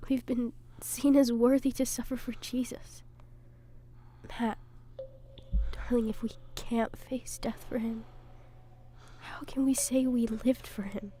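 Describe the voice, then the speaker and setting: A woman playing a battered, devout wife who soothes softly in a breathy, hushed voice in an audio drama. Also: on the high side, steady tone, slow, long pauses, a little hiss or hum. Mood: gentle, devout. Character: battered, gentle, devout young wife held captive.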